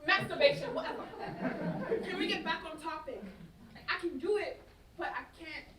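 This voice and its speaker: A woman's voice: very high-pitched, moderate pace, fairly bright tone, a faint crowd. A young woman acting a heartbroken, defensive, slangy young woman in a staged play reading. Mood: frustrated. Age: young adult. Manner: slangy, indignant, exasperated delivery. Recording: roomy distant stage recording, noisy audience.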